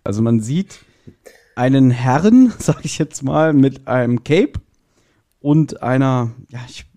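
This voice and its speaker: A man speaks as a guest in a relaxed review chat. His voice is mellow and rather dark, at an ordinary middle pitch for a man. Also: slow. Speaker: podcast guest.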